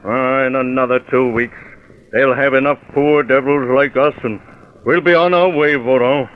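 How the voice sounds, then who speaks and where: A man's voice: mid-range voice, fairly even intonation, moderate pace, clean tone, close to an everyday voice. Man acting bitter old convict, tinny radio sound. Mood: bitter gloom. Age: older. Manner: weary, scornful, emphatic. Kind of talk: old-time radio crime drama acting. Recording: tinny, noisy vintage radio recording.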